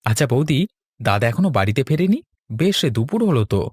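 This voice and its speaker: Man acting an earnest young homecomer, medium pitch. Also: very animated, fast, darkish tone, slightly rough, no room sound, studio quality. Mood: affectionate. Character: affectionate young man returning to his home village. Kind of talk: cartoon monologue and dialogue.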